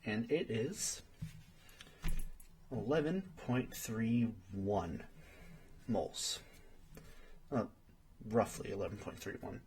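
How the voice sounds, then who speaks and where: A friendly young guy acted by a man tutors in a soft-spoken ASMR roleplay. He takes his time, patient and a little hesitant as he thinks aloud, in a medium-pitched voice. There is some noise and clicking behind him. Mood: patient, explaining. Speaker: study partner.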